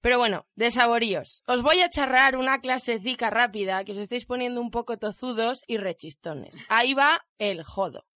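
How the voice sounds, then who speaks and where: A woman's voice: a little high, normal speed, few pauses, bright, forceful tone, dry recording, clean studio sound. A woman with an Aragonese Spanish accent chatting casually and playfully as a radio panelist. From Aragon, Spain. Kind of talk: casual chat.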